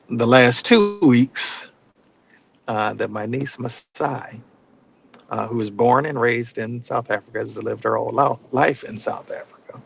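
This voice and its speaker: A man giving an informal inspirational talk in a reflective, halting way, often repeating his phrases. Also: lowish voice, creaky, a bit bright, slight background noise, rough recording. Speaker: spiritual session leader.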